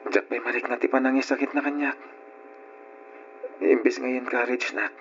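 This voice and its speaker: Hurt young son, played by an actor, noisy recording. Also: male voice, medium pitch, fairly even intonation, normal speed, close to an everyday voice. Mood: hurt, resentful. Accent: northern Philippine. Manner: aggrieved, hesitant. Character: hurt, resentful young man, the slighted son. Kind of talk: Ilocano radio drama acting. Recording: dull, noisy old radio recording.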